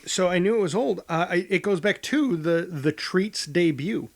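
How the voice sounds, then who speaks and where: Higher-voiced man, halting podcast co-host. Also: animated, creaky. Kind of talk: casual comedic podcast banter.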